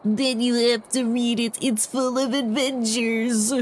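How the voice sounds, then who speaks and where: Young boy in a game, kinda high, earnest, eager, bit cocky, faint music. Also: fairly even intonation, measured pace. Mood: eager, grateful. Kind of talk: video game voice acting.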